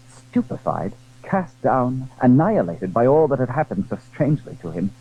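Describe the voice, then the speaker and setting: Theatrical male narrator, thin phone-like sound. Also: mid-range voice. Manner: measured, literary, theatrical. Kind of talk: dramatic scripted storybook narration.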